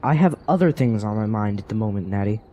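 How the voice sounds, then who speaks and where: A man's voice: lowish voice, animated, fast talker, dark tone. A man playing a troubled, doubting character in a fantasy radio drama, brooding and hesitant in his speech. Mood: distracted, brooding.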